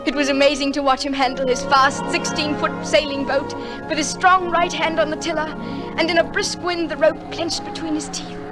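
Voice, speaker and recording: A woman playing an earnest, tender young woman who narrates a remembered story in a radio drama, measured and full of feeling. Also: sits high, flat delivery, over music, lightly acted. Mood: wistful narrating.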